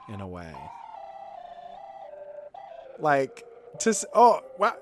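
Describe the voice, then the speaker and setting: A man with a fairly high voice and very lively, swooping intonation, chatting as a game streamer. He rambles informally and offers opinions freely. There is clear noise behind the voice. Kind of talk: casual gaming stream commentary, reading aloud on-screen quotes.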